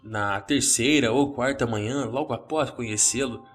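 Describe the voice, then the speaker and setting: Brazilian guy doing horror podcast narration, mid pitch, soft music underneath. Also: normal speed. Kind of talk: first-person horror story narration.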